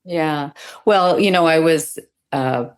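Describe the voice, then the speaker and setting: A woman's voice: deep voice, slow pace, smooth. Thoughtful middle-aged woman in conversation. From Sri Lanka. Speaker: guest, dance teacher and Zen practitioner. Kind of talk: reflective conversational interview.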